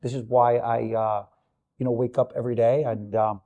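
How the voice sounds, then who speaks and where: A man from Honduras in his forties, a business-owner guest who talks in a halting way with many fillers. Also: mid-range voice, little pitch movement, mellow. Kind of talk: casual interview chat.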